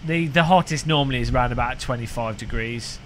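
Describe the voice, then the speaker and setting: A man's voice: mid-range voice, a little fast, a little hiss or hum. A man, chatting casually on a sim racing live stream, rambling and joking with his chat. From United Kingdom. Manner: rambling, joking, frequent restarts.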